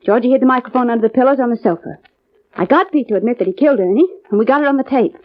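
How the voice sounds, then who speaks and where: A woman plays a scheming dame from a vintage crime broadcast. Her voice is fairly high and defiant, heard through a dull, muffled old recording. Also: rapid-fire, close to an everyday voice. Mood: defensive. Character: defiant, scheming femme fatale, pleading and accusing. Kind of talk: 1950s radio crime drama acting.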